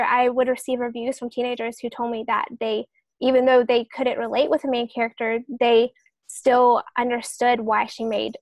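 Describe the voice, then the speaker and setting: A woman's voice: a little high, dry recording. A woman explaining the craft of writing as a podcast panelist, in an earnest, explanatory way.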